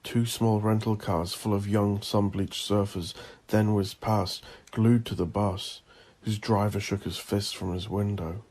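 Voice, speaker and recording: A man narrating dramatic horror fiction as the host of an audio drama, in an ominous, theatrical and deliberate manner. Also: on the low side, little pitch movement, darkish tone.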